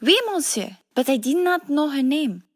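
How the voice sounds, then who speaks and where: A nervous young Frenchwoman in a radio mystery, with a high voice and a French accent. She speaks politely in broken English, hesitating as she searches for words. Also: expressive, normal speed. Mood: nervous, earnest. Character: nervous young French immigrant woman, crime witness. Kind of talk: radio detective drama acting.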